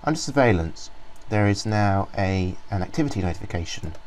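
Rambling man demoing software. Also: fairly low pitch, lively intonation, fairly quick, slight background noise, poor audio. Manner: rambling, many ums and restarts. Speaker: software reviewer. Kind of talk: casual screen-recorded software walkthrough.

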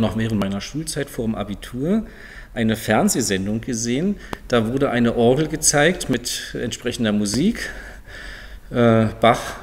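A man in his fifties from Berlin, explaining in a matter-of-fact way as an organ builder showing his work. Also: mid-range voice. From Berlin, Germany. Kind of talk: informal explanatory demonstration to camera. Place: large museum hall, indoors. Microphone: lapel mic. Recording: long reverb of a large hall.

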